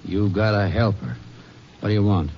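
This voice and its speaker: An actor playing a plain-spoken rural grain buyer with a blunt, clipped manner in a 1950s western radio drama. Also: male voice, fairly low pitch, normal speed, close to an everyday voice. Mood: tense, guarded. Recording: muffled old radio, faint hiss.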